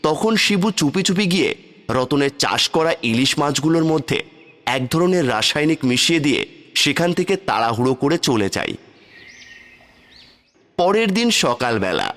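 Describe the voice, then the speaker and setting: A man's voice: on the high side, very animated, moderate pace, pauses often, fairly bright tone. A man narrating a scripted cartoon fairy tale as its storyteller.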